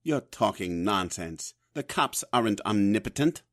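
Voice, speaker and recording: A man's voice: lowish voice, lively intonation, unhurried, a bit bright. An actor playing a blunt, no-nonsense older man, a loyal prodding friend in an audio drama. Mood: blunt, urging.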